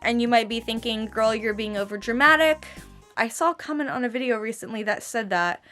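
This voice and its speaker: A woman's voice: fairly high pitch, brisk, soft background music. Twenty-one-year-old woman ranting, slight lisp. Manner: animated, exasperated, slangy, peppered with "okay?". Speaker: vlogger and barista trainer. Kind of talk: casual ranting vlog.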